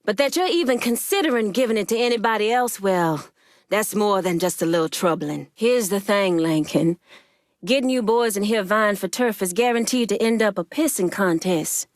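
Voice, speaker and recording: An actress voices a proud, guarded female crime boss for a video game. The American voice sits at a middle pitch, close and dry. The delivery is measured and commanding, streetwise and pointed. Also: expressive, no room sound, natural voice. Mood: cool, skeptical. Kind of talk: video game character dialogue.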